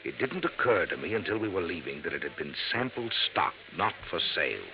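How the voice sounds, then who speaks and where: An actor playing a puzzled, genteel businessman in a 1950s radio drama, polite and measured. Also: low voice, sing-song. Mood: puzzled, confiding. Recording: muffled, noisy old radio recording.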